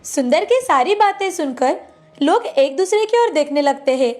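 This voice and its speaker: High-pitched woman narrating a bedtime story. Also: animated, normal speed, darkish tone, faint music behind.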